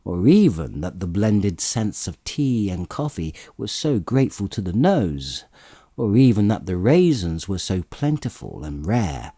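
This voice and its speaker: Expressive male storyteller, low and dark-toned. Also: measured pace, breathy edge, no room sound, studio quality. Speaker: audiobook narrator. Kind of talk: expressive literary storybook narration.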